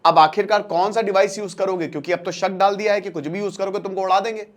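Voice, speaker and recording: A man's voice: sits high, fairly even intonation, quick pace. A man giving an informal current-affairs lecture as a storyteller, talking directly to listeners in colloquial language and repeating phrases for emphasis. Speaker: current affairs educator and channel presenter. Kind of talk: informal explainer lecture, storytelling with acted-out dialogue.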